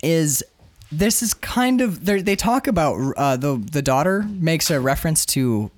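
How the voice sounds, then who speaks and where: Animated man, higher-pitched, talking comics. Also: measured pace, no echo. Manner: emphatic, opinionated, profane. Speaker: co-host of a comic book discussion show. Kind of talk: animated casual discussion.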